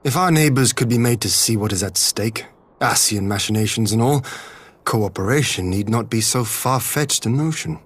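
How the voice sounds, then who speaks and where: A man playing an assured, idealistic young lord in a fantasy game, speaking in formal, measured, eloquent phrases. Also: lowish voice, husky. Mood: resolute. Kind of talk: scripted fantasy game acting.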